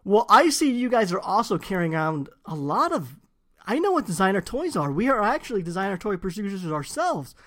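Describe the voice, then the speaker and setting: A man's voice: sits high, big pitch swings, a little fast, fairly bright tone. A man voicing a six-foot floating monkey who runs a karaoke bar, talking like a friendly salesman. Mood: chummy. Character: tall floating monkey karaoke owner, chummy.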